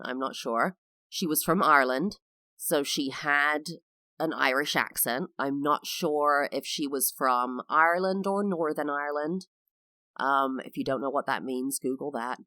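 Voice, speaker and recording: A woman's voice: extremely low pitch, bright, forceful tone, no echo. A woman from England hosting a solo podcast, talking in a personal, explanatory way with frequent fillers. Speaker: podcast host.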